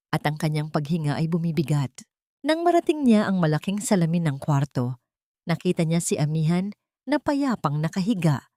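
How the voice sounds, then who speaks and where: A woman's voice: low voice, darkish tone, dry recording, studio quality. A woman reading scripted narration for a dramatic audio story.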